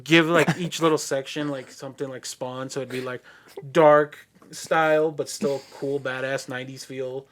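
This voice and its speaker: A man's voice: mid-range voice, fairly even intonation, normal speed. Rambling, laid-back American man. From United States. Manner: rambling, full of "like" fillers. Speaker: podcast guest. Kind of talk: casual chat. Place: podcast studio.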